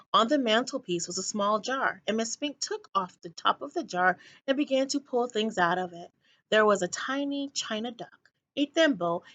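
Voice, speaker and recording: A woman teacher reading a children's story aloud in an expressive narrating style. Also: medium pitch.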